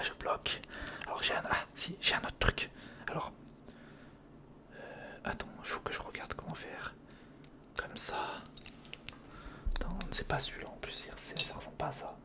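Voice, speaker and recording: A man whispering a casual, improvised ASMR build-along, muttering hesitantly to himself and chatting to the viewer in a breathy, mostly voiceless voice. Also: fairly high pitch. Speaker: ASMR video host.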